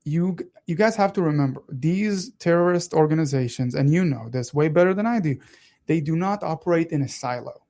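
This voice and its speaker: A man in his thirties with a soft Israeli-Italian accent, a native Hebrew speaker, talking casually and animatedly as a radio co-host. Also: a little high. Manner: animated, talks fast with many restarts and laughs. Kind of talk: casual political chat.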